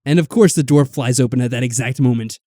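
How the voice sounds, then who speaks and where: A man's voice: mid-range voice, fast talker, dark tone. A man reading a visual novel aloud as a let's player, dropping in wry, exasperated casual asides. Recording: background music.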